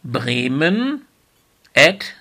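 A man's voice: fairly high pitch, big pitch swings, drawn-out words, pushed and bright. A man hosting a podcast in an informal moderating style. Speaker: podcast host.

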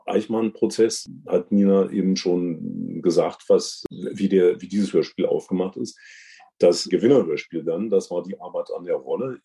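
A man with a low, very soft and dark voice speaks as a juror in an unscripted interview. He explains things deliberately and at length, often halting to rephrase. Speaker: podcast guest and radio drama award juror.

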